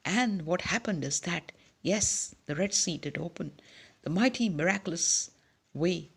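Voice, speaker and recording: A preacher speaking delivers an emphatic devotional sermon. The voice is gravelly and husky, and a steady "yes" marks the breaks between phrases. Quiet asides alternate with sudden loud emphasis. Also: mid-range voice, a little slow.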